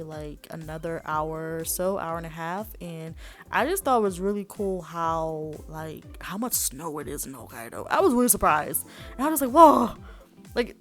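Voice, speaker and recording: A young woman talks through her solo trip in a relaxed, enthusiastic voice-over, sprinkling in "like" and "um". Soft music plays quietly beneath her. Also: lowish voice. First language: not Japanese. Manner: chatty and enthusiastic, with frequent fillers. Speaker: vlogger. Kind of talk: travel vlog voice-over. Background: background music.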